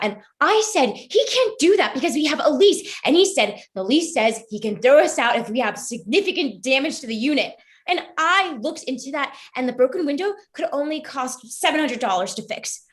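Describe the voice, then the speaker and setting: A young woman acting an anxious, defensive student who rambles and apologises in a naturalistic stage play reading. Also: high voice. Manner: rambling, apologetic, stammering under pressure.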